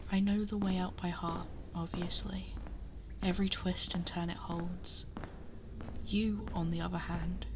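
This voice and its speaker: A woman playing a possessive, protective dragon girl in an intimate ASMR roleplay, speaking softly and breathily, often whispering, close to the microphone in a measured murmur. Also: fairly low pitch, little pitch movement, noisy background. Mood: protective, guiding. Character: possessive female dragon girl, protective, commanding yet tender. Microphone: close binaural ASMR microphone.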